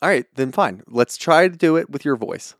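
A man's voice: on the high side, animated, moderate pace, no echo, clean studio sound. A man co-hosting a podcast, playing the exasperated straight man in comic promo banter.

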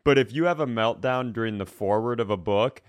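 A man's voice: mid-range voice, fairly quick, no room sound, studio quality. An American man co-hosting a comedy podcast, chatting and telling stories in a casually profane, wry way. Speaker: podcast co-host. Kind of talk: casual comedic chat and storytelling.

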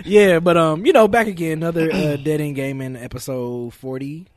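A man's voice: a little high, fairly even intonation, moderate pace, a little mellow, no room sound. A man hosting a gaming podcast in loose, slangy, jokey chat, often repeating his phrases. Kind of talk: loose casual group chat. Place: podcast studio.

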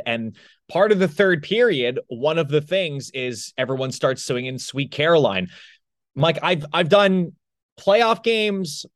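A man with an average-pitched voice co-hosts a hockey podcast. He talks casually and conversationally, like an arena announcer chatting off the cuff about the sport. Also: a bit bright, no room sound, clean studio sound. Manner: informal, conversational.

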